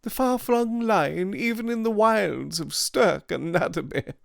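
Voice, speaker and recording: A man's voice: high-pitched, huge pitch swings, unhurried, dry recording, clean studio sound, pitched above the performer's own voice, heavily acted. A man reading an audiobook, voicing Devine, a slender, glib and mocking male schoolfellow with an arch, sardonic way of talking. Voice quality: flippant, arch, sardonic banter.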